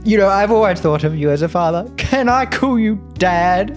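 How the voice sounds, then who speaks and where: A man's voice: high-pitched, expressive, moderate pace, soft background music. A man playing a tabletop game, improvising in character in casual, short, punchy phrases.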